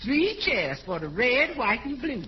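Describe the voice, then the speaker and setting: Wry housekeeper on noisy old radio. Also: female voice, on the high side, big pitch swings, unhurried. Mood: drily weary. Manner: folksy, ungrammatical, comic delivery. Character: wry, folksy woman housekeeper, wearily good-humored. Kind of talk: 1940s radio comedy acting. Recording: dull, noisy old-time radio recording.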